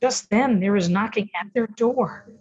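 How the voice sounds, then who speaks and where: A woman's voice: mid-range voice, measured pace, muffled recording, crowd noise. An older woman announcing a radio play in scripted, old-time serial narration style.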